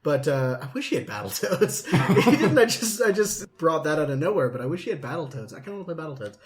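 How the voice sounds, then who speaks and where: A man's voice: fairly high pitch, sing-song, quick pace, over a noisy crowd. A man in his late twenties chatting casually as a podcast host, telling rambling anecdotes. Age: late 20s.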